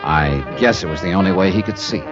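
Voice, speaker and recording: An actor playing a hard-boiled, wry insurance investigator in a 1950s radio detective drama. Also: male voice, low voice, fairly quick, close to an everyday voice. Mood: wry, narrating. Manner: wry, clipped, casual tough-guy talk. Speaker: detective narrator and lead. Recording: dull old radio recording, music behind. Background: jazz music.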